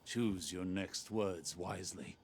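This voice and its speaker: A man's voice: low voice, slow pace, gravelly, a little hiss or hum, full character voice. A man playing a stern, haughty spymaster and mentor in game dialogue, clipped and condescending. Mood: sharp, warning.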